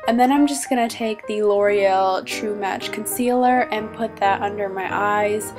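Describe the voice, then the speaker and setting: A woman's voice: mid-range voice, measured pace, music in the background. A young woman with a stuffy cold, chatting upbeat through a makeup tutorial in her small bedroom and naming each product as she goes. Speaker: beauty vlogger.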